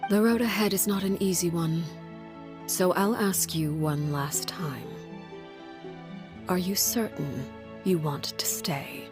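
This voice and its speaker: An actress playing a stern, composed female head of an assassin family in a game cutscene, speaking in a measured, authoritative way. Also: lowish voice. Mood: commanding. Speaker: video game character. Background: faint music.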